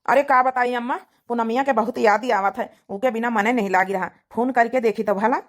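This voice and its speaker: A woman puts on a talkative village elder brother for a cartoon family comedy. The voice sits on the high side. He is doting and insistent, speaking colloquially in an Awadhi accent. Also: steady tone, a little fast, lightly acted. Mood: fretful.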